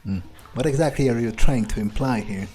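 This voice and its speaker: A man's voice: mid-range voice, fairly quick, dark tone, faint music behind, lightly acted. A man roleplaying a callous, amused vampire in a video game, musing in a drawling, self-satisfied way. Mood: wary, probing. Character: smug, amused, callous male vampire schemer. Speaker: gamer playing in character.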